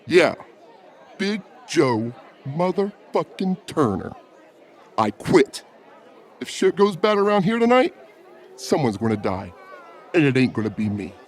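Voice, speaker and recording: A man's voice: on the high side, sing-song, a little slow, long pauses, some chatter behind. A man playing a big, no-nonsense bouncer in an audiobook drama. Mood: exasperated, fearful.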